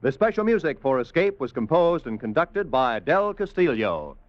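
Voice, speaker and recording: A man's voice: on the high side, big pitch swings, moderate pace, highs cut off, loud music behind the voice. A man announcing an old-time radio drama in a formal, dramatic, scripted style.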